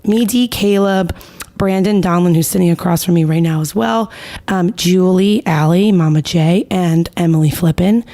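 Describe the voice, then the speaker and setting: A raspy woman in her thirties who is nearly out of voice, co-hosting a casual podcast. Her delivery is gravelly with vocal fry, and she tells chatty, teasing stories. She is recorded close on a studio mic. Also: mid-range voice, mellow. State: losing her voice. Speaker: podcast co-host. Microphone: close ASMR-style studio mic.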